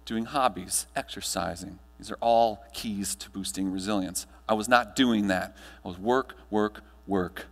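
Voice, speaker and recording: An American man delivering a rehearsed personal-story keynote as a motivational speaker on stage, speaking in deliberate, emphatic short phrases. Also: fairly low pitch. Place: large indoor hall, speaking on stage. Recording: echoey hall with mains hum.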